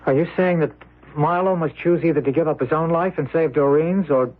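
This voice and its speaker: An actor playing a timid, anxious party guest in a radio drama, with hesitant, stammering speech. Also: male voice, a little high, brisk, close to an everyday voice. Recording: narrow-band old radio, faint music.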